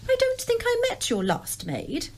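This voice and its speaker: An actress playing a stubborn, sceptical English grown-up daughter in a radio drama, tart and contradicting. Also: female voice, very high voice, wildly swooping pitch, measured pace, darkish tone, slight background noise, heavily acted. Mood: dry, sceptical.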